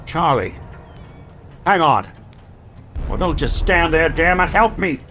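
An actor playing a gruff, loyal detective in a radio drama, speaking urgently and with emphasis. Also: male voice, fairly high pitch, sing-song, slow, bright, forceful tone, soft background music, full character voice. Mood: angry urgency.

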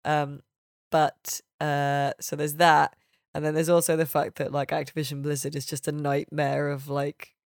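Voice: medium pitch, level tone, moderate pace, vocal fry, dry recording, studio quality. A podcast host, chatting casually and opinionatedly about games in an informal, sweary way.

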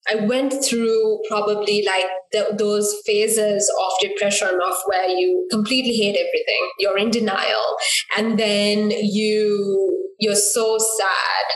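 A woman from Sri Lanka in her thirties, a guest telling her story in a casual interview with lively quoted asides. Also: mid-range voice, slow pace, reverberant, soft background music, rough recording.

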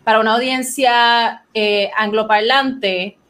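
Woman co-host in her 30s, emphatic and lively, high-pitched. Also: takes it slowly. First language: Spanish. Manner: animated, emphatic, asks questions. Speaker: podcast co-host. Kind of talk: casual chat on a podcast.

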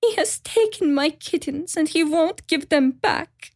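A man voicing a desperate female mother cat who sobs in a high strained falsetto. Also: expressive, a little slow, dry recording, clean studio sound, heavily acted. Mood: sobbing.

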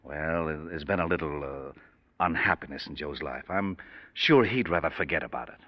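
An actor playing a smooth-talking, scheming middle-aged American family man and boss in an old-time radio drama. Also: very deep voice, moderate pace. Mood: smooth, evasive. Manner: persuasive, reassuring, evasive. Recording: dull, muffled vintage radio recording.

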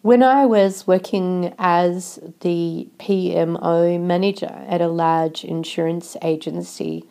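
A woman's voice: lowish voice, fairly even intonation, takes it slowly, few pauses, mellow. Nasal woman host with a cold. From Australia. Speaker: podcast host. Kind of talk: solo podcast talk.

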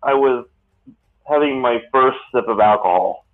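A man in his late thirties hosting a casual podcast chat, talking in a rambling, halting way with many restarts. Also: mid-range voice. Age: late 30s. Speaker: podcast host. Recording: tinny phone-like line.

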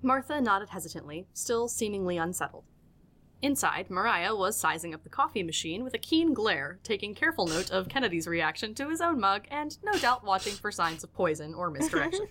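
A woman's voice: medium pitch, very animated, a little fast, fairly bright tone, slight background noise. A woman narrating a scripted audio drama as its storyteller.

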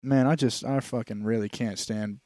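A man's voice: medium pitch, fairly even intonation, moderate pace, darkish tone, no room sound, clean studio sound. Young man riffing crude podcast comedy. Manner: swearing, riffing bits in joke voices. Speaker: podcast co-host. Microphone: studio podcast microphone.

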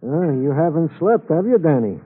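An actor playing an eager, friendly night-duty police sergeant with a fussy, roundabout way of talking, in an old radio drama. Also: male voice, on the high side, very animated, moderate pace. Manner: roundabout, fussy, quaintly formal phrasing. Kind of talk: 1950s radio drama character acting. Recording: tinny old radio broadcast.

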